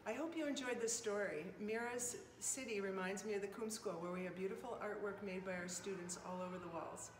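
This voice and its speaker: A woman presents in a mid-pitched voice. She is away from the microphone in an echoey room with clear noise. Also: a little fast, hardly any pauses. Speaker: presenter introducing a staff read-aloud. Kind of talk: prepared introduction. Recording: echoey, distant mic, noisy room.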